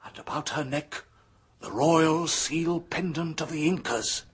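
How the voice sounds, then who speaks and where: An actor playing a scholarly male history professor in a radio drama, hesitant at first and then rising to hushed intensity. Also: fairly high pitch, unhurried, slightly rough. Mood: intense. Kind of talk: 1970s radio drama acting.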